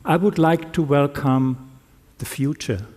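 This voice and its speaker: A man who is not a native English speaker, giving a conference talk in a slow, deliberate way with self-deprecating humor. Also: a little high, a little mellow. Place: conference hall. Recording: reverberant conference hall.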